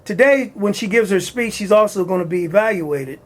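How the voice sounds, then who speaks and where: A man hosting a community talk show, introducing a speaker with halting restarts. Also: high voice. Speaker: host. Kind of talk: introducing a guest.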